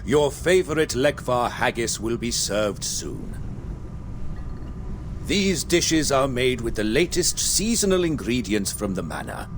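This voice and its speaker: A man's voice: medium pitch, noisy background. An actor voicing a polite male butler who speaks formally and deferentially.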